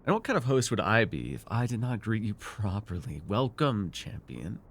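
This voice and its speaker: A man acting a smug, seductive and menacing sorcerer ruler in a fantasy ASMR roleplay, with a theatrical, teasing, deliberate delivery. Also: on the low side, very animated. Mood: soft, teasing. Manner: theatrical, teasing, deliberate, with murmured asides and sudden force.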